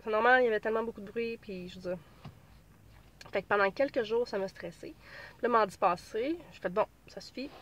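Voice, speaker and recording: A woman's voice: medium pitch, brisk. A woman in her thirties with a Québécois French accent talks casually from inside her car. She has a cold and sounds a little stuffy. She rambles and restarts, with plenty of fillers. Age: 30s. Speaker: pregnancy vlogger. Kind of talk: casual vlog chat.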